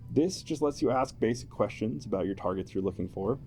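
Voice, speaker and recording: Man, low relaxed voice, playful game explainer, faint music behind. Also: a little fast, vocal fry. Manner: amused, playful, chuckling often. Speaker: tabletop game enthusiast presenting a character build. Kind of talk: explanatory chat with a co-host.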